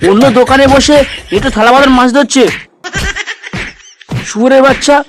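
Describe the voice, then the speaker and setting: A man's voice: very high-pitched, steady tone, fairly quick, noisy background. A man voicing a grumpy, foul-mouthed older father in a comedy cartoon, scolding and indignant. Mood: furious. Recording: music behind.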